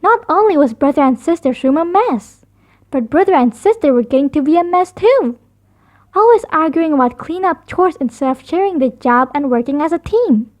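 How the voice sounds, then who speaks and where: A woman reads a children's story aloud as narrator. Her voice is high, and her intonation rises and falls in a very lively way. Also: moderate pace.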